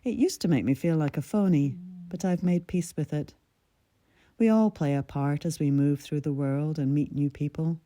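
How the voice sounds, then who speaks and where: A woman in her sixties reads her own essay aloud in a measured, reflective storytelling voice. Her mid-Atlantic accent carries a light Scottish lilt, and her tone is deeply mellow and dark. The sound is dry and close, with no room around it. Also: dry recording. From Scotland. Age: 60s. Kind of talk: scripted personal essay reading.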